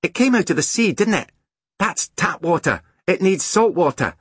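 A man's voice: sits high, sing-song, a little fast, fairly bright tone, breathy edge, no echo, voice pushed up, heavily acted. A man voicing a small, stolid, bossy little boy in a children's storybook, blunt and matter-of-fact. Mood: smug.

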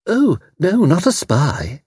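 A man's voice: on the high side, sing-song, slow pace, darkish tone, slightly rough, dry recording, clean studio sound, voice pushed up, heavily acted. A man voicing a flustered, shocked debater in an audiobook, protesting hesitantly. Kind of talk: audiobook character dialogue.

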